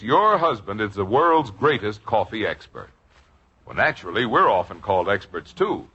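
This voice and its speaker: A man's voice: on the low side, bright, forceful tone, husky. A man announcing a 1950s radio commercial in an emphatic, persuasive manner. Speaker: radio announcer reading a sponsor's ad. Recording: dull vintage radio recording.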